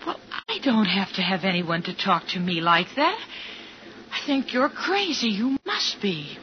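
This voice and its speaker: A woman's voice: medium pitch, big pitch swings, a little slow. An actress playing an indignant, bewildered young woman on a train in a 1950s radio thriller, flustered and protesting. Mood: indignant, puzzled. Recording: dull, noisy 1950s radio recording.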